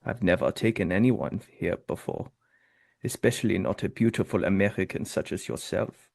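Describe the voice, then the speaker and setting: A man's voice: sits low, normal speed, vocal fry, darkish tone. An actor playing an earnest, naive young Austrian man who is smitten and solemnly sincere in a romcom table read.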